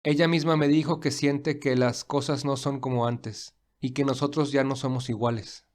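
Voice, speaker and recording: A man playing a thoughtful, devoted young gentleman in an audio drama, earnest and reflective. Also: medium pitch, fairly even intonation, moderate pace, natural voice. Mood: pensive, determined.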